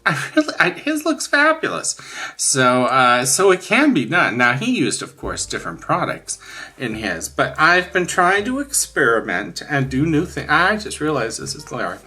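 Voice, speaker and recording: Bright-voiced man in his sixties, chatting candidly. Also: on the high side, big pitch swings, moderate pace, husky. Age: 60s. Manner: rambling, candid, emphatic about likes and dislikes. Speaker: craft channel host. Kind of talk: casual chat showing finished coloring pages.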